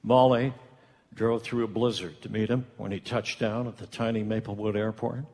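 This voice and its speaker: A man's voice: fairly low pitch, steady tone, moderate pace, over music, lightly acted. A man performing as the dramatic storytelling narrator of a comedic holiday radio play. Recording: live theatre hall, long reverb.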